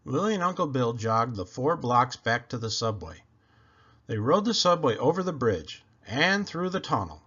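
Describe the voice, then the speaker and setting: Very animated man reading a storybook. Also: medium pitch, moderate pace, slightly rough, muffled recording. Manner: expressive, emphatic. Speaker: children's book reader. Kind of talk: animated storybook read-aloud narration.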